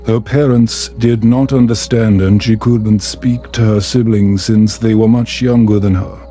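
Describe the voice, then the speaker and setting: A man narrating a story in a calm, soft, soothing and measured way, meant for bedtime. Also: on the low side, faint music behind.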